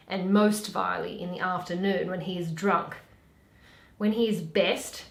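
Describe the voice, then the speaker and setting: A woman's voice: on the low side, creaky, pitched below the performer's own voice. A woman playing a witty, mischievous young heiress in a theatrical Shakespearean reading, arch and teasing. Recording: roomy, echoey home recording.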